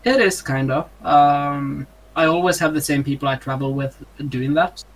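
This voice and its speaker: A man's voice: fairly high pitch, normal speed, a little hiss or hum, low-quality recording. A young man with a Norwegian accent, answering interview questions in casual, hesitant English with many ums and uhs. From Norway. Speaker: streamer, interview guest.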